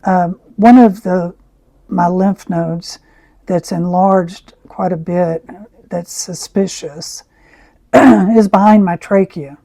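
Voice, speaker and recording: A woman with a raspy voice, who often clears her throat as she chats through an art tutorial. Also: on the low side, fairly even intonation, no echo. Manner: slow, soft-spoken, frequent throat clearing. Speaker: art channel host. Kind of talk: casual art tutorial chat.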